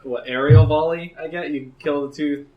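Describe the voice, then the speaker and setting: A man's voice: fairly high pitch, fairly even intonation, brisk, far from the mic, dull audio. Slangy man, slightly away from the mic. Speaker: podcast panelist. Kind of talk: casual chat, slangy and swearing.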